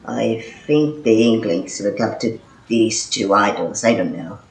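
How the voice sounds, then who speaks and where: A man's voice: fairly low pitch, lively intonation, unhurried, reverberant, low-quality recording. A man playing a blunt, foul-mouthed squad member in an amateur audio drama, rambling and hesitant. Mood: musing.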